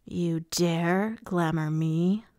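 A woman's voice: on the low side, flat delivery, a little slow, gravelly, mellow, no echo, studio quality. A woman voicing a taunting, casually cruel immortal male lord in an audiobook fantasy story, with a purring menace. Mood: taunting, menacing. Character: menacing, smooth, mocking immortal male High Lord villain.

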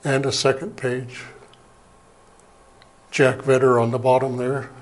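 An older man, an Air Force veteran, giving a plain, halting slide presentation from a lectern in a small museum meeting room, heard from a camera across the room. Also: mid-range voice, little pitch movement, low-quality recording. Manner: plain, unhurried, halting with fillers. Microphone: far camera, speaking at a lectern.

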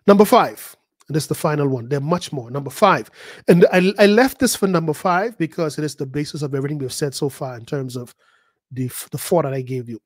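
A man, a pastor preaching a sermon, emphatic and repeating words, his pitch jumping high at key points. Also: brisk, dry recording, studio quality. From the Bahamas. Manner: repeats words and acts out quoted voices.

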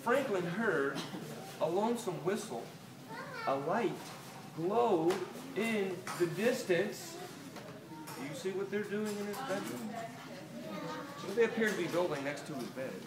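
A middle-aged American man reads a children's storybook aloud with dramatic pauses, as if to young listeners. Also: sits high, animated, a crowd in the background. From Delaware, United States. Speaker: children's author reading his book aloud. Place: inside a small toy shop. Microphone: camera a few metres away. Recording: roomy, distant, noisy phone-camera recording.

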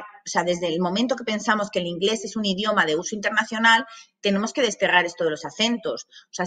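Chatty woman from Madrid, bright voice. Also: mid-range voice. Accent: Madrid Spanish. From Madrid, Spain. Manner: chatty, many fillers and asides. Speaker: language academy director. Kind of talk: online masterclass talk. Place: small office with banners.

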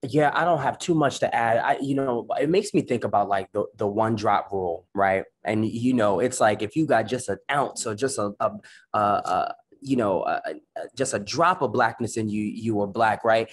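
A man's voice: fairly low pitch, normal speed, husky. Earnest man on a video call. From United States. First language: Spanish. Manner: earnest, many restarts and "right?" tags. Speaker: panelist. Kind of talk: reflective panel discussion. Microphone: webcam on video call.

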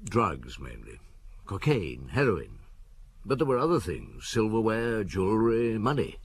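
An actor playing an unhurried, wry middle-aged police chief inspector in a radio drama, musing and understated. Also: male voice, on the low side, sing-song, gravelly, slightly rough, no room sound, heavily acted.

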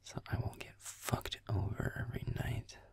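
A young man playing a grumpy, sardonic werewolf boyfriend in an intimate audio roleplay, muttering deadpan in a breathy, gravelly murmur as he games. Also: moderate pace, no room sound, whispered, natural voice.

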